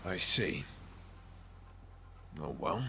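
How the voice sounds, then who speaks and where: A man playing a measured, skeptical father leading a quest in a fantasy audio drama. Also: deep voice, expressive, sharp tone, a little hiss or hum, exaggerated voice.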